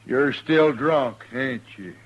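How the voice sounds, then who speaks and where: A man's voice: mid-range voice, slow, muffled recording. An actor playing a hostile, accusing cowman in a western radio drama. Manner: pointed, accusing. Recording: noisy old-time radio recording.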